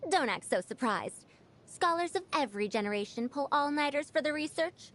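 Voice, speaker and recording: An actress playing a proud, bossy ancient scholar who sounds youthful, lecturing theatrically as a video game character. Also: female voice, high voice, expressive, moderate pace, a bit bright. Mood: grumbling.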